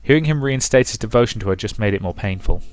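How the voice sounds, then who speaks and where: A man's voice: fairly low pitch, animated, fast, soft background music. A man commentating on and reading aloud from a visual novel as a let's play host.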